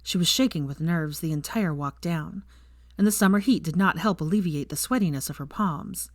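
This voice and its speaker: A woman's voice: fairly low pitch, normal speed, dry recording. A woman reading fiction aloud as a scripted audiobook narration, recorded close to the microphone.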